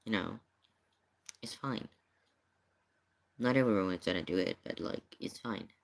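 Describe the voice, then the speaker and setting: Man, low pitch, gravelly fry, casual streamer commentary. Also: unhurried.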